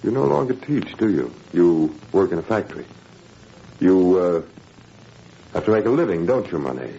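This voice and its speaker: A menacing Nazi official in a 1940s radio drama. His low voice slides widely up and down, mock-courteous and condescending, with drawling hesitations. The old recording is dull and noisy. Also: big pitch swings, moderate pace, mellow. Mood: veiled threat. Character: smug, menacing Nazi education official, former university colleague.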